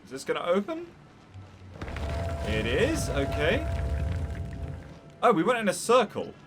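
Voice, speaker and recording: A man's voice: high-pitched, huge pitch swings, unhurried, music in the background. A man hosting a casual game playthrough, chatty and enthusiastic as he reacts aloud. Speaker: gaming video host.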